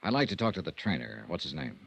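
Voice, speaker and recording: An actor playing a persistent, dry American insurance investigator in a 1950s radio detective drama, asking clipped, businesslike questions. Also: male voice, low voice, very animated, quick pace, creaky, a bit bright, breathy edge, heavily acted. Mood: probing. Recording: dull, dry vintage radio recording.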